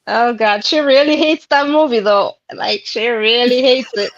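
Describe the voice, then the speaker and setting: A woman's voice: on the high side, dry recording. A woman in her thirties co-hosting a livestream, laid-back and giggly. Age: 30s. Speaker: livestream co-host. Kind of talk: casual livestream chat. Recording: dull, slightly lo-fi mic.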